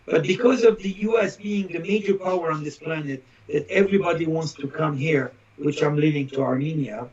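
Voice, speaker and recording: High-voiced emphatic man, dull lo-fi sound. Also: a little fast, hardly any pauses, darkish tone, slightly rough. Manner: emphatic, declarative, builds points in repeated lists. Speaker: interview guest, social critic. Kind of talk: opinionated live interview talk.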